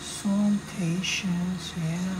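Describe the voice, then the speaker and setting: A woman's voice: fairly low pitch, steady tone, extremely slow, heavy background noise. A woman with a Malaysian or Singaporean accent giving casual gameplay commentary in short, plain remarks. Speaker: gamer making a walkthrough. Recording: loud game music behind the voice. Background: loud game music.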